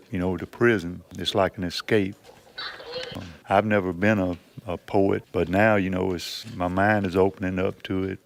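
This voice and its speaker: A man's voice: sits low, vocal fry. A plainspoken, understated man sharing personal writing and thoughts as a poetry student. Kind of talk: halting personal talk and plain poem reading. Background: guitar music behind the voice.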